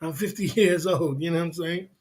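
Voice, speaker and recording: A man's voice: a little high, a little fast, darkish tone. A man, casually hosting a livestream, rambling and laughing often as he answers viewers. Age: 50s. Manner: rambling, laughs often, repeats filler phrases. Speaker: livestream host. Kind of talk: casual livestream chat reading viewer comments.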